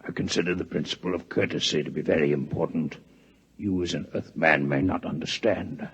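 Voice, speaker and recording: An actor playing a courteous, dignified alien scientist in a 1950s radio drama, speaking with stiff, pedantic formality and a husky voice. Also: male voice, very deep voice, fairly even intonation, a little fast, exaggerated voice. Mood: dignified reproach. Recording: muffled vintage radio recording.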